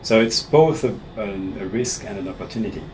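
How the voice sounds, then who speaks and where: A man from Switzerland in his thirties, a professor answering interview questions in a small office in a measured way, often restarting his words. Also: lowish voice, darkish tone, low-quality recording. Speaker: actuarial professor being interviewed. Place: small office meeting room, seated at a table. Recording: room recording with audible air conditioning hum.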